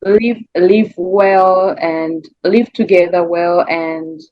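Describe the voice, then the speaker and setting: A woman's voice: on the low side, steady tone, extremely slow, dry recording, highs cut off. A woman from Rwanda with a Rwandan accent answers interview questions as a singer, searching for words and repeating phrases in a conversational way.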